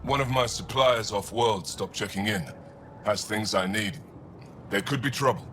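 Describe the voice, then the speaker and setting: An actor playing a shrewd alien cargo trader in a video game, with blunt, clipped phrasing. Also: male voice, lowish voice, moderate pace, fairly bright tone, a little hiss or hum, natural voice. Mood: blunt, businesslike. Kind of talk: video game dialogue acting.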